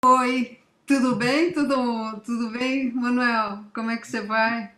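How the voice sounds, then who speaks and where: A woman's voice: a little high, fairly even intonation, slow, a crowd in the background. An older woman from Italy, a guest writer in a casual interview, reflective and hesitant as she recalls. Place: library event. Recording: echoey room.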